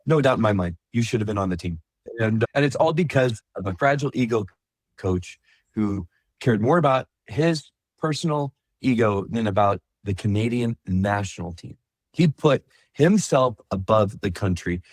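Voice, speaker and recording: A man co-hosting a podcast, retelling a story in lively casual chat and acting out the people in it. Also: normal speed, dry recording. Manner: animated, acts out quoted voices with big pitch jumps. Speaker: podcast co-host.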